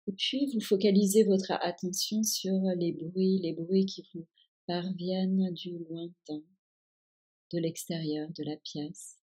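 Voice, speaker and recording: Female meditation guide, low-pitched and very unhurried, calm and soothing delivery of relaxation instructions. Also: breathy edge, rough recording. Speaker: yoga nidra guide.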